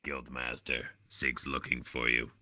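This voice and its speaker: A man with an extremely low voice and a very sharp, forceful edge speaks in character in a fantasy roleplay. The sound is dry and close. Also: little pitch movement, unhurried, no room sound.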